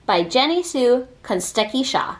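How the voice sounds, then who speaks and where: A woman with a fairly high voice reads a children's picture book aloud. Her pitch swings in a very lively way, and she phrases each short line deliberately, leaving pauses between them. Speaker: read-aloud reader.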